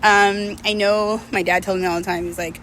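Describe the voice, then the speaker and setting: A woman's voice: medium pitch, level tone, moderate pace, fairly bright tone, low-quality recording. A woman in her thirties talking casually and candidly, reflecting in a confessional way as she walks outdoors. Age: 30s. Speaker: vlogger. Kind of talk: casual confessional chat while walking. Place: outdoors, walking. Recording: clear outdoor urban traffic noise.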